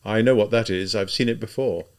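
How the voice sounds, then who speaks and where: A man voicing an anxious, kindly male civil servant, speaking in a low, worried murmur. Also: a little fast, natural voice. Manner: hushed, worried muttering.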